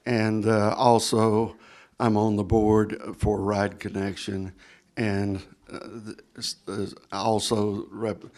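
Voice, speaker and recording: Slow, halting man introducing himself. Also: lowish voice, level tone, darkish tone. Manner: halting, full of uh fillers. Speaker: committee member. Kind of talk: meeting self-introduction. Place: meeting room.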